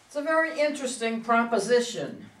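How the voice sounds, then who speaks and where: A woman's voice: on the high side, very animated, brisk. A woman playing a proud, combative older female politician in a play reading, with an indignant, emphatic delivery. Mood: wary. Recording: echoey room, far from mic.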